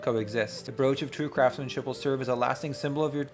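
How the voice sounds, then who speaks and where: Casting: male gaming host, average pitch, excitable, reads story text and comments off the cuff, music underneath. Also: fast talker. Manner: excitable, thinks aloud in slangy asides. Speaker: player and host of a gaming channel. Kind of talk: tabletop game play, reading narration aloud and commenting.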